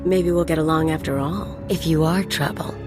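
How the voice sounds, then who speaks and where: A woman's voice: sits low, steady tone, normal speed, over music, natural voice. An actress playing a dangerous, flirtatious female mercenary in a video game, teasing with sly menace. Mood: sly, threatening.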